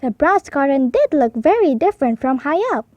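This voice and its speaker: A woman reads a picture book aloud for young children in a high voice. Her narration is expressive and highly animated, and the recording is close and dry. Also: moderate pace, dry recording, muffled recording. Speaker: storybook reader.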